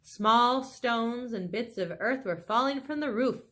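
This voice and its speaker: A woman reading a children's story aloud in a dramatic, expressive way. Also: on the high side, unhurried. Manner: animated, dramatic, with exclaimed asides to listeners. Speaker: storybook reader.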